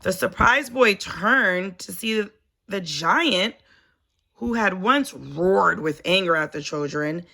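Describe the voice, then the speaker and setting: A woman reading a children's story aloud in an expressive, deliberate storytelling manner. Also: mid-range voice, creaky. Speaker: storybook reader, teacher.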